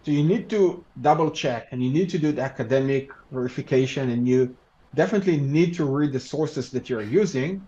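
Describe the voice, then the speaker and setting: A man's voice: medium pitch, warm tone. Hebrew-speaking man with muffled audio. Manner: measured, halting, with many restarts and "you know". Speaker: law researcher and lecturer. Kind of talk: thoughtful interview answers.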